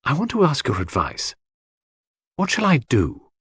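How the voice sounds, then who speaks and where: A man voicing a gentle young woman who speaks in a hesitant, plaintive, confiding way in an audiobook. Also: medium pitch, wildly swooping pitch, unhurried, husky, dry recording, clean studio sound, voice pushed up, heavily acted. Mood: anxious, appealing.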